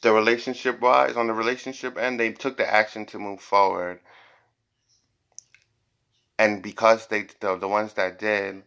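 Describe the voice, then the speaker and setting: A man's voice: lowish voice, level tone, normal speed, gravelly, long pauses, a bit bright. A man reading tarot cards for viewers in an informal, improvised way, blunt and emphatic, repeating phrases and swearing at times. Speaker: tarot and psychic reader hosting a reading.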